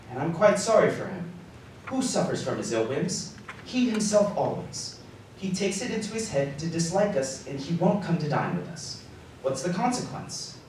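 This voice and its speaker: Casting: male actor as cheerful, forgiving young gentleman host for live radio drama; animated delivery, distant mic. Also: on the high side. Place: theater stage before live recording. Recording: distant, very echoey live stage, faint noise.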